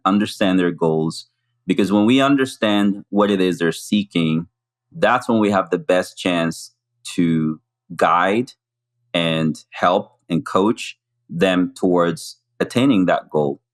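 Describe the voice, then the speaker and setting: A man giving calm, measured advice as a guest. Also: low voice. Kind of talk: measured interview answer.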